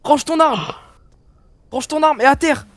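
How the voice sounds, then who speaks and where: High-voiced man in game role-play. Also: unhurried, a bit bright, no room sound. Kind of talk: improvised in-character online game role-play.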